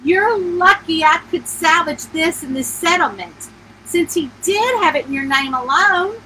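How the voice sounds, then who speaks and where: A woman's voice: extremely high pitch, lively intonation, unhurried, bright, forceful tone, slight background noise, exaggerated voice, low-quality recording. Woman reading as a matter-of-fact male lawyer. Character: Stanley, matter-of-fact male lawyer delivering bad news.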